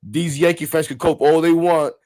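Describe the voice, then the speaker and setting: A man in his forties hosting a casual sports talk show, animated and rambling, repeating words and laughing often. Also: a little high, moderate pace. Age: 40s. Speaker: podcast host.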